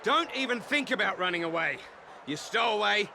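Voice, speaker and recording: A man's voice: high-pitched, lively intonation, moderate pace, creaky, background noise. A man voicing a blustering patrol underling in a game. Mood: aggressive, gloating. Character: blustering male patrol goon, nervously deferential underling. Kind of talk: game voice acting.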